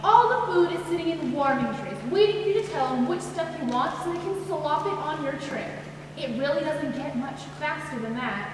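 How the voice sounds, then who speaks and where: A teenage girl acting a prickly, blunt, sardonic woman in a high school stage play, curt and dismissive. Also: lively intonation, moderate pace. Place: high school stage, audience camera far away. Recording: noisy echoey hall, recorded from far off.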